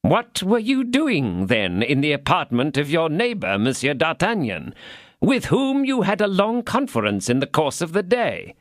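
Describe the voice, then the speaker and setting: A man's voice: on the high side, huge pitch swings, no room sound, clean studio sound, pitched above the performer's own voice. A man voicing a stern, officious police commissary interrogating a prisoner. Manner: interrogating, officious, slow and emphatic.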